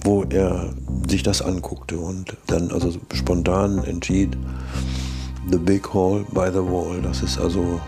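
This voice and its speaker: An older man reminiscing in a documentary interview, halting as he repeats and rephrases. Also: low voice, little pitch movement, over music. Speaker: studio eyewitness interviewee, sound engineer.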